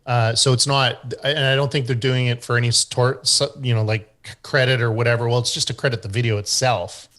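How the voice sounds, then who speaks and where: A Canadian man explaining website search topics to an online group in a relaxed way, with many fillers and restarts. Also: medium pitch, brisk, few pauses. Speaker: guest expert on website SEO.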